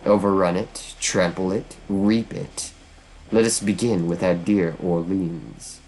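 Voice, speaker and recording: A man's voice: deep voice, fairly even intonation, slow, a little mellow, slight background noise, poor audio. A man voicing a vengeful witch woman from hell, speaking in a theatrical, formal and taunting way. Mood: cold, commanding.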